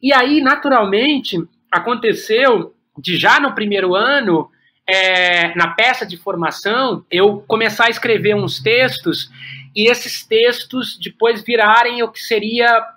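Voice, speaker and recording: A Brazilian man with a notably high voice and a bright, forward tone. He talks conversationally, like a guest reflecting in an interview. His phrasing is halting, with repeated words as he thinks aloud. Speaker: podcast guest, playwright and director.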